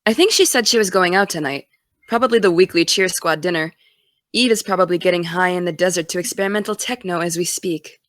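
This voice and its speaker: A woman's voice: on the low side, a little fast, fairly bright tone, no echo, clean studio sound, close to an everyday voice. A woman playing a driven, distracted college-bound young woman in an audio drama, speaking casually and wryly. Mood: wry, relaxed. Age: young adult. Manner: casual, wry. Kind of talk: naturalistic audio drama dialogue.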